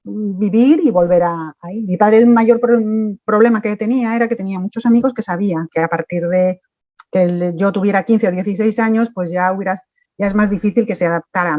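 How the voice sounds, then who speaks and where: A woman's voice: fairly low pitch, dark tone, dry recording, dull audio. A woman from Mexico, a guest in a casual interview, chatty and talking in long run-on stretches.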